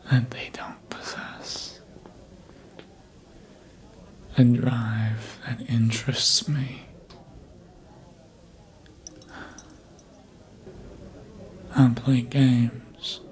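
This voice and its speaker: A performer voicing a seductive, calculating vampire mentor in a slow, deliberate, intimate whisper for an ASMR roleplay. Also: mid-range voice, warm tone, natural voice. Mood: seductive, intrigued.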